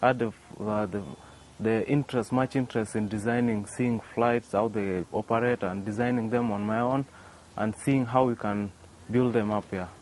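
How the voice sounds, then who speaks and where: A man's voice: fairly low pitch, fairly even intonation, moderate pace, a little mellow, poor audio. A young man, answering interview questions hesitantly, with many restarts and a filler "yeah". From South Sudan. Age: young adult. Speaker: interview guest, young inventor. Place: outdoors by a workshop. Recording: faint outdoor noise.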